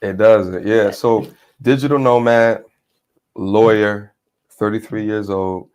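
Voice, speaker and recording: A man's voice: on the low side, steady tone, slow, darkish tone, clear, resonant tone. Confident man on a dating show. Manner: confident, self-promoting. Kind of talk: casual date conversation.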